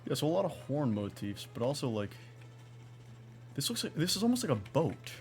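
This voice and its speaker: A man's voice: fairly high pitch, very animated, brisk, a little mellow, faint music behind. A man giving casual live gameplay commentary as a streamer, musing aloud in a rambling way. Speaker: game streamer.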